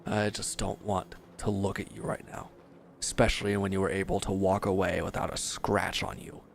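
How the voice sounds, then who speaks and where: Man acting a low, gravelly, bitter defeated villain. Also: slight background noise. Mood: resentful, weary. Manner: bitter, sardonic, confrontational. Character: bitter defeated male villain, injured, resentful and proud. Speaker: voice actor in audio roleplay. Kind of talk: dramatic audio roleplay monologue.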